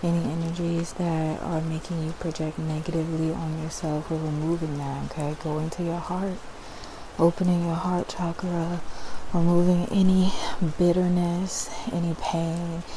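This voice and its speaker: A woman's voice: lowish voice, background noise. A woman hosting ASMR as a spiritual cleansing guide, speaking in a soothing, instructive way and often checking in with the listener. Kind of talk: ASMR personal-attention roleplay.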